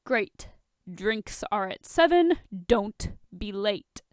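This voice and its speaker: A woman voicing a stern, humorless masked vigilante man in a deadpan, curt way, in a fan fiction reading. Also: mid-range voice, smooth, no echo, studio quality. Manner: deadpan, curt, drawn-out delivery.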